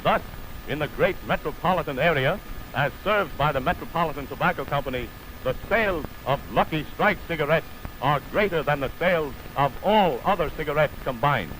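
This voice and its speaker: A man's voice: fairly high pitch, lively intonation, a bit bright, slight background noise, low-quality recording. An American man reading a scripted advertisement as a radio announcer in a formal, declamatory 1930 style, on an old broadcast recording. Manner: formal, declamatory, measured enunciation. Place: radio studio.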